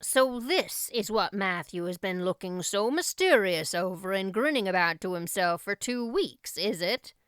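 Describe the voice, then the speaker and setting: Woman playing a strict spinster guardian, middle-aged, stiff, scolding, thrifty, clear voice, storybook character. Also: mid-range voice, animated, measured pace, few pauses, a bit bright, no room sound, clean studio sound. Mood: stiff, tolerant.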